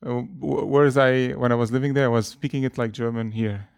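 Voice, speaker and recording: A man's voice: mid-range voice, steady tone, fast, gravelly, smooth, no echo. A man in his thirties, chatting as an interview guest with a mid-range voice. He talks in a loose, hesitant way and laughs easily. The sound is dry and close. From Lebanon. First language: Arabic and French. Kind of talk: relaxed conversational interview.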